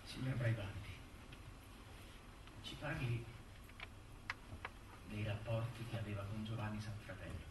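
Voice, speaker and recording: An actor playing an insistent, insinuating lawyer in a theatrical cross-examination, pointed, formal and sardonic. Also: male voice, high voice, big pitch swings, normal speed. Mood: hushed, insistent. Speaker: stage actor. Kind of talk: theatrical courtroom interrogation. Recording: roomy stage sound, distant mic, faint noise.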